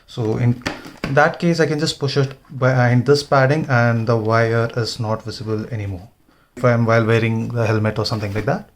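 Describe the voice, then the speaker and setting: A man's voice: mid-range voice, steady tone, normal speed. A man giving an informal hands-on tutorial, thinking aloud as he works. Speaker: motovlogger demonstrating gear installation. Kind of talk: casual hands-on tutorial narration.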